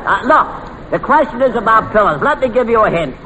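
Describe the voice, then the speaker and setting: An actor playing an exasperated, mock-formal quizmaster on an old radio comedy show. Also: male voice, high voice, sing-song, fairly quick, bright, forceful tone, some chatter behind, exaggerated voice. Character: exasperated male quizmaster, sparring with dim panelists. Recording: noisy old radio broadcast recording.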